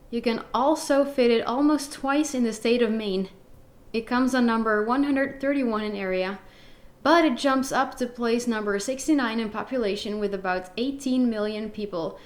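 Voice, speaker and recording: A young woman, narrating a geography vlog in a cheerful, playful, chatty way from a living-room sofa. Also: on the high side. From Netherlands. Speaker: geography vlogger. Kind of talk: upbeat video narration. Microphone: handheld recorder near camera.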